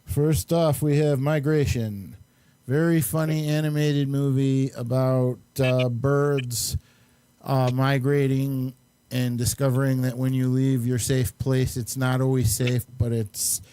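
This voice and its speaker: Male host for casual film chat, soft mellow tone, very dry sound, halting and meandering delivery. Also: mid-range voice, fairly even intonation, smooth, dry recording. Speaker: host and amateur filmmaker. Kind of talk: casual chat and movie reviews.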